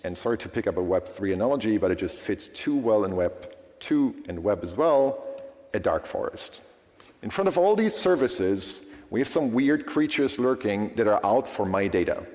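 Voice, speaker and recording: A lower-pitched man with German as his first language gives a conference talk, his pitch rising and falling animatedly. He talks in a casual way and keeps checking in with the audience. His voice carries the long reverb of a big hall. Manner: lively, conversational, frequent "right?" checks with audience. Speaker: conference speaker.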